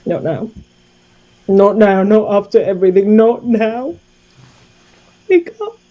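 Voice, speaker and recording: A woman's voice: mid-range voice, big pitch swings, slow, muffled recording. An actress playing a grief-stricken woman adventurer in improvised tabletop roleplay, pleading and repeating herself between anger and cries. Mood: grieving denial.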